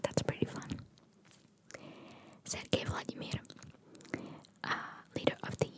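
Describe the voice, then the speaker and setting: Woman doing asmr, all breathy whisper, reading stuff out n commenting casually as she goes. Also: unhurried. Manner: informal, chatty, reacting to what is read. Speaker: ASMR host reading video game trivia.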